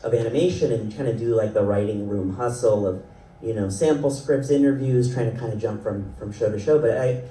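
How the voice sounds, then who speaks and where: A man's voice: lowish voice, a little fast, mellow. A man in his thirties from South Florida, speaking as a panelist at a festival in a rambling, self-deprecating way and laughing often. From South Florida, Ecuadorian family. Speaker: panelist, animation writer and creator. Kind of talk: panel discussion. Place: event panel hall. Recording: roomy sound from a distant mic, faint noise.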